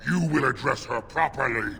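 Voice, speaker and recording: A man acting as a fierce, loyal beast creature in a fantasy game, with a gravelly growling voice. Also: mid-range voice, normal speed, pushed and bright, a little hiss or hum. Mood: fierce, indignant. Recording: long cavernous reverb.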